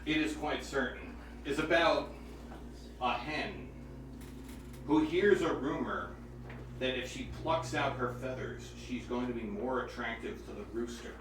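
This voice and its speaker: A man's voice: medium pitch, measured pace, background noise. A pianist at a recital, telling the stories behind each piece in a conversational way with asides to his listeners. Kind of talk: informal spoken program notes between pieces. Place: indoor room with air conditioning. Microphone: phone with USB microphone, placed away from speaker. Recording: roomy and distant with faint ambience.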